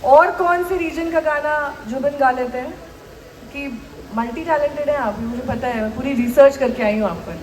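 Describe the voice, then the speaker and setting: A woman's voice: sits high, normal speed, a little mellow. An Indian woman hosting a live stage interview, coaxing her guest and mixing English into Hindi. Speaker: talk show host, interviewer. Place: large live event stage. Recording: echoey event PA, crowd, faint noise.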